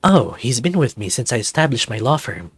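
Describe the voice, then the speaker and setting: A man's voice: medium pitch, sing-song, fairly quick, husky, dry recording, faint music behind. A man voicing a proud, self-assured dragon lawyer in a visual novel game, in a composed manner. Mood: fond, chatty. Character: suave, proud male dragon lawyer in a suit.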